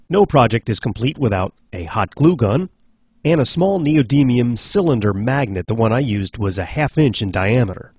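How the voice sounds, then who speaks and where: Male DIY host with a lively, varied pitch. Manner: clear step-by-step instructional delivery. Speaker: host of a DIY project show. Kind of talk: scripted how-to narration.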